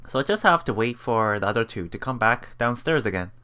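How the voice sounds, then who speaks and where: A woman's voice: rumbling low, lively intonation, a little fast, bright, forceful tone. A woman doing a casual let's play, reading visual novel text aloud and reacting with giggly asides. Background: game music.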